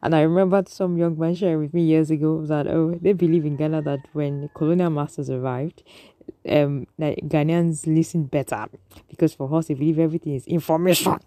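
A woman's voice: low voice, steady tone, fairly quick, dark tone, no echo. A Nigerian woman giving an earnest, lecturing opinion monologue to an audience, often restarting her sentences.

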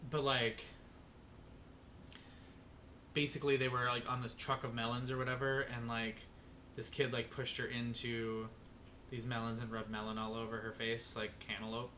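Young man, podcast co-host, casual chat, swears a lot, bright tone, faint background noise. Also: medium pitch, level tone, moderate pace, long pauses. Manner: loose, swears a lot, rambling with many fillers.

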